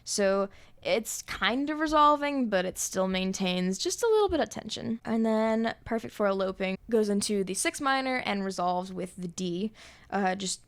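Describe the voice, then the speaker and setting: Young woman, high voice with noticeable vocal fry, relaxed explainer as a songwriter. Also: moderate pace. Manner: relaxed, explanatory, with thinking fillers. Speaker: songwriter explaining her arrangement. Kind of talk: casual music theory walkthrough.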